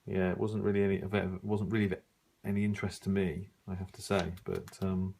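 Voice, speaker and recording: A middle-aged man giving a casual, unscripted review, musing and halting as he thinks aloud while browsing. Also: low voice, little pitch movement, vocal fry, a little mellow, a little hiss or hum. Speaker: radio hobbyist reviewing an old magazine.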